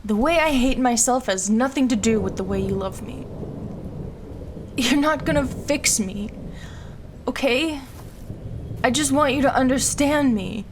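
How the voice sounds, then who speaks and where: A high-pitched young woman voiced by an actress in an audio drama, wounded and defiant. She speaks with raw, pleading emotion as a self-loathing outcast daughter, over faint background noise. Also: unhurried, long pauses. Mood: hurt, despairing. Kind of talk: naturalistic audio roleplay acting.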